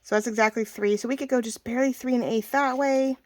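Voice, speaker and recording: A woman hosting a casual crafting tutorial, chatty and thinking aloud as she works, slightly hoarse and often clearing her throat. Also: on the high side, steady tone, fast. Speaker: craft video host.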